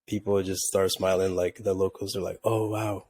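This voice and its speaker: A man's voice: sits low, moderate pace, a little hiss or hum. A man from Tanzania co-hosting a podcast, chatting casually and rambling with lots of "like". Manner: relaxed and rambling, heavy use of "like". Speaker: podcast co-host.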